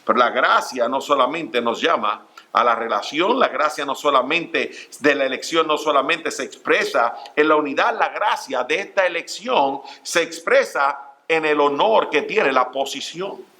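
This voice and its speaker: A middle-aged man preaching a church sermon, earnest and direct, calling his listeners brothers and pausing on fillers. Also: on the high side, sharp tone. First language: Spanish. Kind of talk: Spanish-language church sermon. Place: church sanctuary.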